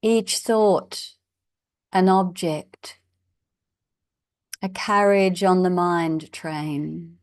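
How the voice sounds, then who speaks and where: A woman leading a guided meditation as a teacher, in a measured, instructional way with long pauses. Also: on the low side, steady tone, dry recording, clean studio sound.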